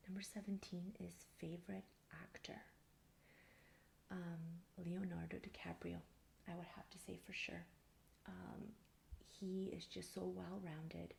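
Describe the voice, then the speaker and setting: A woman in her mid thirties speaking in a soft, breathy near-whisper, calmly and without hurry, answering personal questions in an ASMR style. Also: fairly low pitch. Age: thirty-four. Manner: calm, unhurried. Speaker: ASMR video creator. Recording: faint background hiss.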